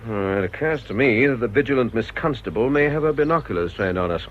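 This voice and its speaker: A man acts out a pompous middle-class British husband in a radio play. His formal, dry condescension comes with very animated pitch. The sound is dull with noticeable background noise. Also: quick pace, close to an everyday voice. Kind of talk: British radio drama acting. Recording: dull, noisy radio drama recording.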